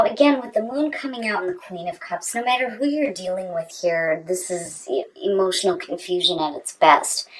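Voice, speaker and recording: A woman's voice: mid-range voice, recorded from a distance, poor audio. A woman giving a conversational tarot reading straight to the viewer, repeating phrases for emphasis. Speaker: tarot reader.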